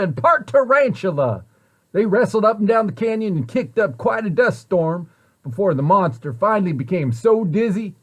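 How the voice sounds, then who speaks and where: A man reading a children's story aloud in an expressive way, with deliberate, dramatic emphasis. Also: sits high. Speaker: storybook reader, site director at a children's center.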